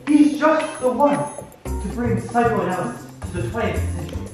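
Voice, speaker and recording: A man's voice: very high-pitched, animated, normal speed. A man playing an effusive, fatherly old-world psychoanalyst with grand enthusiasm in an amateur comedy film. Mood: insistent, enthusiastic. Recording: very echoey room, distant mic, music behind.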